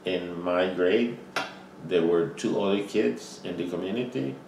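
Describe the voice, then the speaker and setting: Older Costa Rican man, very deep voice. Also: vocal fry, a little mellow. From Costa Rica. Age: 70s. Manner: halting, frequent "you know" fillers. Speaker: interviewee. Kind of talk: oral history interview. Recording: roomy, distant mic with faint noise.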